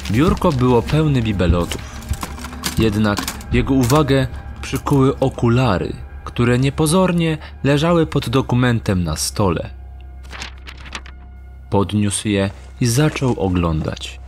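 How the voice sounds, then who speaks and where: Narrator, male, mid-pitched, unhurried and measured, scripted thriller storytelling. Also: expressive, darkish tone. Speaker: audio drama narrator. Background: music.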